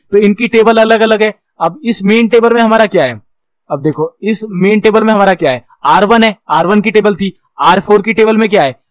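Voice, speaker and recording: A man's voice: sits high, no echo. A man with an Indian accent giving an explanatory tutorial as an instructor, asking questions and answering them himself in a teacherly way. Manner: switches between Hindi and English terms. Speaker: instructor in computer networking.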